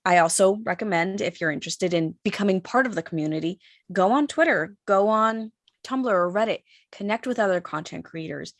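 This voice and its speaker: A woman on a discussion panel, giving measured advice as an audio drama creator. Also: medium pitch, no room sound.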